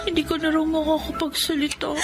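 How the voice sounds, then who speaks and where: A woman acting as a caring, tearful younger sister in a radio drama, speaking gently. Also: sits high, completely flat delivery, fairly quick, over music. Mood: tearful, comforting.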